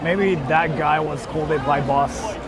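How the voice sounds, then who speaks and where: A man's voice: a little high, a little slow. A young man from Japan with a Japanese accent, chatting casually as a live streamer, informal, repetitive and free with swearing. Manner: repeats himself, informal, swears freely. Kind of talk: casual live-stream chat, answering viewer comments. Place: outdoors on a busy city street at night. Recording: street noise, traffic and crowd.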